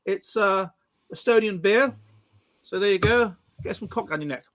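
A man with a high voice hosts a casual chat and reads listener questions aloud. He keeps breaking off and restarting his sentences, and he slips in wry jokes as he goes. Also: fairly bright tone. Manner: halting, stammering restarts; wry, joking. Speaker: host of an online pub show.

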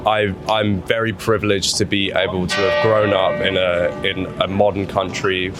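A man's voice: deep voice, level tone, normal speed, over loud music. A young man being interviewed in a casual street conversation, hesitant, with many fillers and restarts. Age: young adult. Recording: crowd noise of a busy street.

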